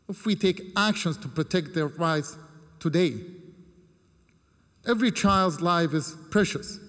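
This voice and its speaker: A man in his thirties from Jordan giving a formal prepared speech from a podium, measured and earnest, with deliberate pauses between phrases. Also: a little high, a bit bright. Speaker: keynote speaker. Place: large ornate hall with seated audience. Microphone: podium microphone. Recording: long hall reverb.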